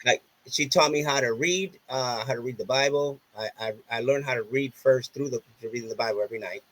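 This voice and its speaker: A man's voice: mid-range voice, fairly quick, fairly bright tone, poor audio. A man from Puerto Rico whose first language is Spanish, with a Puerto Rican accent, telling stories earnestly in a casual interview and often repeating himself. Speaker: podcast guest.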